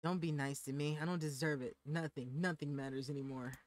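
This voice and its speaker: Guy playing a sad hurt young girl, tearful pleading, bit higher, music. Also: steady tone, a little fast, natural voice. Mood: despairing.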